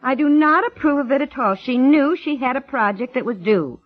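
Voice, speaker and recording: An actress playing a brisk, no-nonsense wife and mother who mildly scolds, in an old-time radio family drama. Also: high-pitched, expressive. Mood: stern. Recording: dull lo-fi vintage radio recording.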